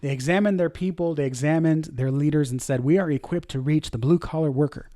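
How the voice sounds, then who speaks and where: A man's voice: a little high, brisk, warm tone, no room sound, clean studio sound. A man in his thirties, a church media director, teaching a leadership lesson in an informal, conversational way, with fillers and self-corrections. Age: 30s.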